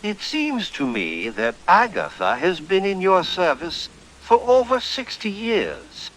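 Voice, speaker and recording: A man voicing a suave, scheming gentleman barrister in a horror radio play, eloquent, formal and theatrical. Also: high voice, wildly swooping pitch, slow pace, a little hiss or hum, heavily acted, rough recording. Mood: persuasive. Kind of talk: old-time horror radio play acting.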